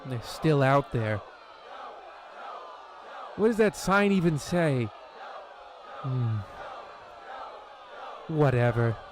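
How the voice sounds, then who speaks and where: A man's voice: medium pitch, animated, very slow, pauses often. A man playing a grumbling, dismissive fellow in a comedy radio play. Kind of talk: scripted radio play acting. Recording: very noisy, busy crowd ambience.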